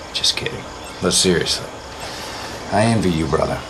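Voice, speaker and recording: Cocky rebel officer in a game briefing, low voice, wry n streetwise, clear background rumble. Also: male voice, slow, exaggerated voice. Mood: sincere, brotherly. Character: cocky male insurgent officer briefing a commander, brotherly. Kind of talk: casual game mission briefing.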